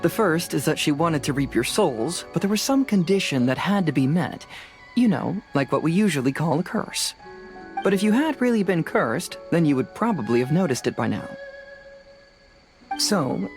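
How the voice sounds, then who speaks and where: A woman playing a calm, fearless young investigator in a video game, speaking in a methodical, matter-of-fact way. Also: low voice, moderate pace, faint music behind. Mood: analytical.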